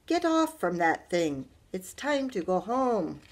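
A woman doing a gentle old grandmother. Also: on the low side, sing-song, pitched above the performer's own voice, heavily acted. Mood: scolding. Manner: soft, slow and tender.

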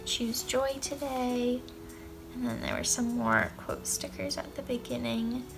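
A woman's voice: a little high, little pitch movement, unhurried, vocal fry, music in the background, rough recording. A young woman in her twenties showing off craft supplies in an upbeat, gushing way, sitting on the floor of a small indoor room. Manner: upbeat, gushing, lots of "um" and "I love". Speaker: craft vlogger. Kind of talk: casual haul show-and-tell.

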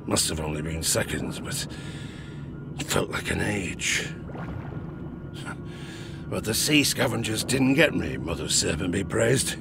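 Gravelly, theatrical old sailor. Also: male voice, sits low, sing-song, a little slow, pauses often, loud music behind the voice, exaggerated voice. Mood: haunted, hushed. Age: older. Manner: salty sailor's dialect, chuckling, theatrical asides. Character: grizzled old harpooner spinning a tavern sea tale, boastful, haunted. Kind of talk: dramatic audio drama storytelling.

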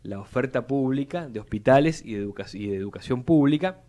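A man's voice: medium pitch, lively intonation, fast, mellow. A young man with a Buenos Aires Spanish accent, speaking as a city legislator on a live radio interview in a studio, talking formally and often repeating words as he builds long arguments. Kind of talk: measured policy talk on live radio. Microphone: studio mic on boom arm.

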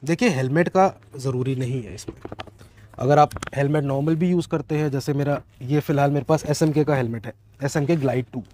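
A man's voice: mid-range voice, fairly quick, warm tone, slight background noise. A young man with an Indian accent giving an informal, explanatory question-and-answer product review, mixing in English phrases, recorded outdoors in a garden. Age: young adult. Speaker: motovlogger reviewing gear. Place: outdoor garden, seated at a table.

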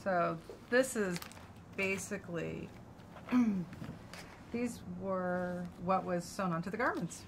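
A woman's voice: on the low side, slow pace, creaky, long pauses, background noise. A woman casually explaining items from an archive as she shows them. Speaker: company archivist showing collection. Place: indoors at a table.

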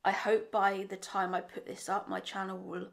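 A young woman from England, her voice still a little croaky, talking candidly to camera in a casual vlog, thinking aloud with small restarts. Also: mid-range voice, little pitch movement, moderate pace. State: slightly croaky voice. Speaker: vlogger. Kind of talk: casual reflective monologue to camera. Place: bright bedroom indoors. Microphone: desk microphone in front of her.

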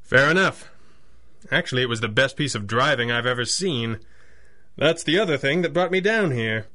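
A wealthy young gentleman of the 1930s in a radio serial. He speaks at a middle pitch, formally and courteously. His wit is dry and his air self-assured. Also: lively intonation, moderate pace. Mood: composed, persuasive. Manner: formal, courteous, dryly witty. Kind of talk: 1930s radio drama acting.

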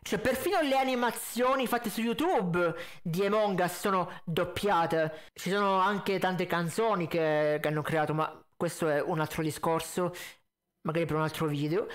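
A man's voice: sits high, normal speed, fairly bright tone. A man giving casual, critical and emphatic reaction commentary. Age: 20s. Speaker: reaction video host. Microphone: close desk condenser mic with pop filter, headset.

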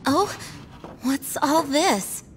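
A woman voicing a dutiful, modest young female knight commander, earnest and gentle, in an anime game. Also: high-pitched, lively intonation, very slow, exaggerated voice. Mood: shy surprise. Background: soft game music.

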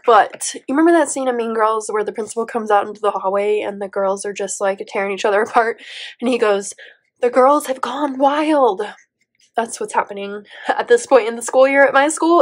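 A woman in her thirties, stuffy from allergies, chatting casually as she thinks aloud, peppered with "like" and little laughs. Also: a little high. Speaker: planner vlogger and teacher. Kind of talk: casual plan-with-me voiceover. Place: indoor home table, overhead camera on planner.

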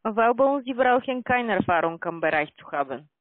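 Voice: medium pitch, lively intonation, normal speed, dry recording. Foreign-accented call centre agent on a tinny line. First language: not German. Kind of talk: sales phone call. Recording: tinny dry phone line.

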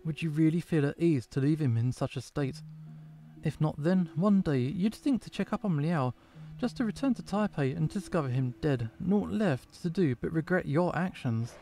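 A man voicing a sharp-tongued male companion in a game who scolds and mocks with sarcasm. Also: on the high side, lively intonation, normal speed, faint music behind. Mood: scolding.

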